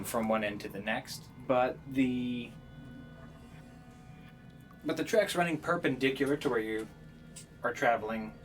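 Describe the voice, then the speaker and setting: A man running a tabletop game, improvising scene descriptions in a halting way as he searches for words. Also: mid-range voice. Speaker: game master.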